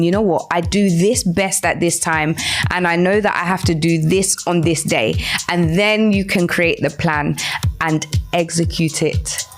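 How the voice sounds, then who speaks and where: A woman hosting a live radio talk show, encouraging and explanatory, speaking directly to her listeners. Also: on the low side. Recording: music playing behind the voice. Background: background music.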